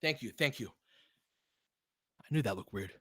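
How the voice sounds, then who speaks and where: A man doing live basketball play-by-play as an excitable, partisan fan, chatting casually with his viewers. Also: medium pitch, normal speed, husky, no room sound, clean studio sound. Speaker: fan play-by-play commentator, streamer.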